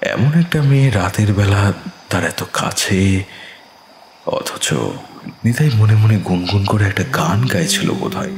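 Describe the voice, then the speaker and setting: A man narrating a scripted ghost story in a slow, suspenseful manner. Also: lowish voice, animated, echoey room, slight background noise.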